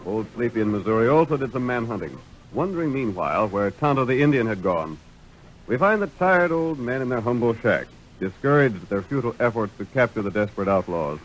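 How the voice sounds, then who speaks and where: An actor narrating a western radio serial as a dramatic storyteller in scripted old-time style. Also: male voice, mid-range voice, big pitch swings, brisk, slight background noise, natural voice, poor audio. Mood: solemn. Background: music.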